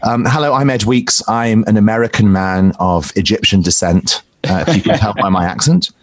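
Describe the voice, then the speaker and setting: A man from Britain, a guest writer, joking in a dry, deadpan way. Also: on the low side, moderate pace, no room sound.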